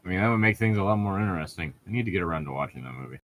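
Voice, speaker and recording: A man's voice: sits low, lively intonation, rapid-fire, a bit bright, no room sound. A man reading fiction aloud and mocking it with profane, incredulous, joking commentary. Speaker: host of a fanfiction reading show.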